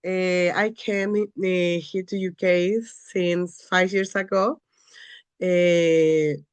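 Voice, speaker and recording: A woman's voice: lowish voice, no room sound. Woman from el salvador, earnest, repeats herself to push the point, dry sound. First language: Spanish. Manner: earnest, repeats phrases for emphasis. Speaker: panel speaker. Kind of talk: encouraging talk to an audience.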